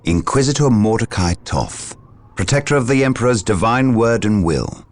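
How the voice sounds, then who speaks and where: An actor playing a solemn, learned warrior advisor in a video game, speaking formally. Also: male voice, lowish voice, lively intonation, normal speed, close to an everyday voice. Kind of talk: dramatic video game cutscene acting.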